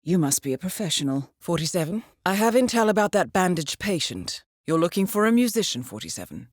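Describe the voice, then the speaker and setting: An actress playing a composed, coolly professional intelligence handler who guides an assassin in a video game, measured and dryly wry. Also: female voice, lowish voice, very animated, no room sound, studio quality. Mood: composed, businesslike. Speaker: mission handler. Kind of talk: scripted video game mission briefing.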